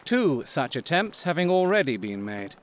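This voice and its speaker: A shrewd gentleman detective in an audio drama. His voice sits fairly high and moves around a lot as he gives precise, confident, theatrical instructions, over faint noise. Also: very animated, normal speed. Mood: analytical, assured.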